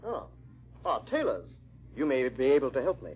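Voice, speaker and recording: Suave villainous gentleman, swooping delivery. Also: medium pitch, wildly swooping pitch, moderate pace. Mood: suave, impatient. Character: suave, haughty villainous husband, a hurried French gentleman. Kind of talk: 1940s radio drama acting. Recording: muffled vintage radio recording.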